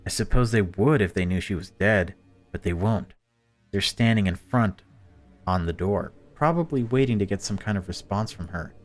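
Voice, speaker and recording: A man's voice: fairly low pitch, normal speed, faint music behind. A man hosting a gaming video, reading a visual novel aloud and commenting on it casually.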